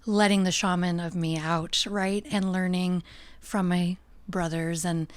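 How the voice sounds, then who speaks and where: A woman's voice: on the low side, level tone, measured pace, clean tone. A middle-aged American woman talking as a podcast guest, reflective and earnest, laughing easily. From United States. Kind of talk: casual interview talk.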